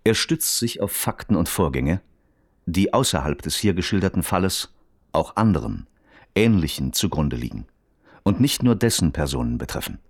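A man's voice: low voice, big pitch swings, moderate pace, no echo, studio quality, exaggerated voice. A man playing a radio reporter who narrates a crime case in a formal, precise, matter-of-fact documentary style. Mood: sober, factual.

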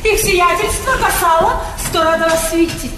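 An actress playing a curt young Finnish chambermaid who speaks broken Russian with a Finnish accent in a stage play. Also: female voice, very high-pitched, moderate pace, over music. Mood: brisk, bossy. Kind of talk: theatrical stage acting. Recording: echoey theatre stage recording, clear noise.

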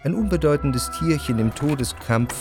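A man's voice: fairly high pitch, lightly acted. Man as reflective literary narrator, faint music. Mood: observant. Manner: measured, literary, precise. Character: reflective, refined male narrator recalling Parisian salon society. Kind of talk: scripted first-person narration in radio drama.

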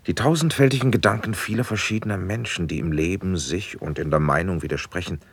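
Gracious prince in old verse radio play, super deep husky voice, formal and deliberate. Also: male voice, no echo. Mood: gently advising. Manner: formal, stately, deliberate declamation. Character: gracious, measured prince, patron of a poet. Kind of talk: classic German verse radio drama acting.

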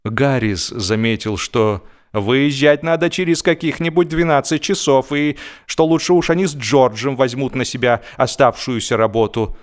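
A man narrating a humorous story in an expressive, theatrical way, with dry comic timing and drawn-out pauses. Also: medium pitch. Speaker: narrator, audiobook reader.

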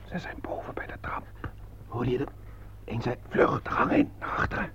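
An actor playing a tense, protective army sergeant under fire in a radio war drama. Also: male voice, mid-range voice, level tone, normal speed, whispered, close to an everyday voice. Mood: hushed, fearful. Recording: muffled old radio recording, loud noise.

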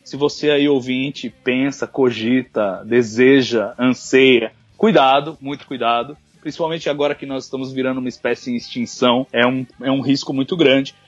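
A man's voice: mid-range voice, fairly even intonation, normal speed, few pauses, no echo, soft background music. A man, a journalist guest on a podcast, chatting casually and jokingly, with restarts as he thinks. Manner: thoughtful, joking, with filler restarts. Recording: muffled lo-fi audio.

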